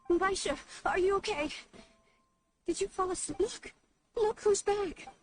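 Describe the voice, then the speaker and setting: Very high-pitched eager young office worker. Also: female voice, a little slow, soft background music. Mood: concerned, excited. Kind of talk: scripted video game voice acting.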